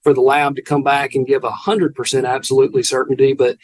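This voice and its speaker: Southern man explaining law, halting. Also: mid-range voice, flat delivery. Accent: Southern American. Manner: halting, repeats words as he explains. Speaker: family law attorney, guest. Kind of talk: explaining legal procedure in conversation.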